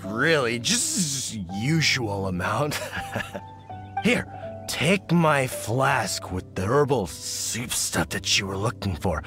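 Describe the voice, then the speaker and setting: A man playing a tipsy, jolly middle-aged hunter father in a video game, rambling in halting, slurred speech. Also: fairly high pitch, exaggerated voice. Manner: slurred, halting drunken delivery with hiccups. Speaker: game character voice actor. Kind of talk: video game character dialogue.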